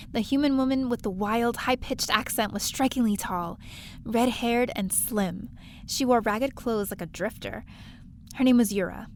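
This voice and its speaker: High-voiced, plucky woman narrator in character. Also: a little hiss or hum, close to an everyday voice. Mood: observant. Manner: wry, breezy. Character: plucky, wry young woman space adventurer narrating her escapade. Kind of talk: first-person scripted narration, audio drama.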